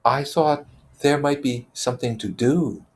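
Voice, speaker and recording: Storybook schoolboy, acted by a man: introspective, timid in speech, hesitant, lightly higher voice. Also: gravelly. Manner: hesitant, halting. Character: Simon, shy introspective schoolboy, unafraid. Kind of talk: character voice in novel read-aloud.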